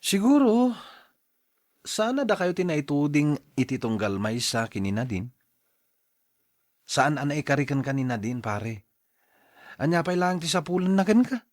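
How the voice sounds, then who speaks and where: Blunt male best friend for radio drama, played by a man: Ilocano accent, animated, exhorting, dry close sound. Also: fairly high pitch, a little slow, pauses often, no room sound. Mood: scolding. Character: blunt, scolding male friend urging a heartbroken man onward.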